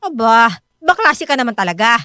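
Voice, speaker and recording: An actress playing a devoted, hard-pressed wife and mother who is quick to bristle, with expressive, emphatic delivery in a radio drama. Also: fairly high pitch, smooth, no echo, clean studio sound. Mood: indignant. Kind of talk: Filipino radio drama acting. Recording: music bed under the voice.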